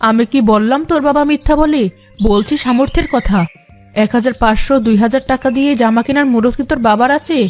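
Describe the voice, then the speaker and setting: High-pitched haughty scolding village mother, played by a woman. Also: fast, a little mellow, close to an everyday voice. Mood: scornful. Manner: condescending, scolding. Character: haughty, scornful village mother looking down on poor. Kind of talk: cartoon drama dialogue. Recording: faint music behind the voice.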